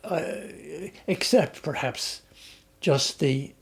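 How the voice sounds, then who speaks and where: An older man with an Irish accent, talking about his life at an unhurried pace, often pausing to restart his sentences. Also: fairly high pitch, gravelly. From Ireland. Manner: hesitant, with many restarts and fillers. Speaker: interviewee. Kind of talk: reflective conversational storytelling.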